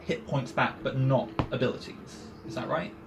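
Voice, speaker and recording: A man's voice: mid-range voice, fairly even intonation, moderate pace, noisy background. A man talking casually as a player at a tabletop role-playing game. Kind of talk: casual chat. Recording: clear music behind the voice. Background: background music.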